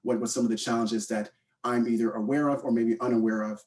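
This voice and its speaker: A man's voice: medium pitch, fairly even intonation, quick pace, darkish tone, distant mic. A man in his thirties with a Canadian accent giving an informal unscripted talk, hesitant and often repeating his words. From born in Guyana, raised in Canada from age eight. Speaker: panel speaker, mentorship program co-founder.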